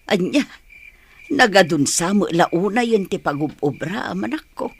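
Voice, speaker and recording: A man's voice: high voice, animated, unhurried, a bit bright, full character voice. A man playing a fretful, doting elderly mother in an Ilocano audio drama. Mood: tender worry. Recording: clearly noisy track with chirping insects.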